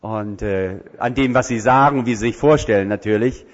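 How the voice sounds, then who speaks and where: A man addresses his congregation with earnest warmth as he leads the service. His voice sits fairly low. The sound is dull and lo-fi, with a long church-hall reverb trailing each phrase. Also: moderate pace. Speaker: church leader introducing missionaries.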